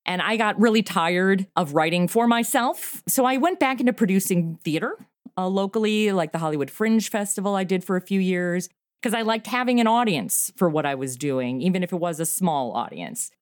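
A woman in her forties chatting as an interview guest over a remote call, animated, with laughs and fillers. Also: fairly low pitch, moderate pace, no room sound, studio quality. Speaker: podcast creator and guest. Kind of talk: casual interview chat.

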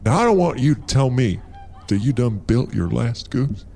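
A man's voice: medium pitch, animated, moderate pace, vocal fry, mellow, slight background noise. A man playing an earnest, pleading coworker with emphatic, melodramatic delivery in an audio comedy sketch. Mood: earnest, emotional. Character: earnest, pleading male coworker in absurd goose factory.

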